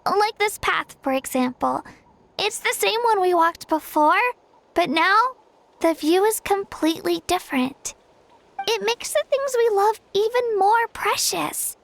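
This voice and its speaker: A woman voicing an earnest, caring young child villager in video game dialogue, soft-hearted and grateful. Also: very high-pitched, expressive, unhurried, gravelly, heavily acted. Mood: reflective, resolute. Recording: background game music.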